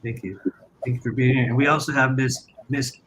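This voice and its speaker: A man hosting an online panel in a relaxed, slangy way, his voice sitting at a middling pitch. Faint background noise hums beneath him on the call. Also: brisk. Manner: laid-back, enthusiastic slang. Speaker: host.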